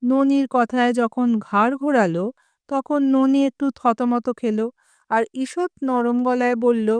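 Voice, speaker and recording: Lady reading a comic story, bit high pitched, acts out the quotes a little. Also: slow, clear, resonant tone, no echo. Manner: expressive, lightly acts the quoted lines.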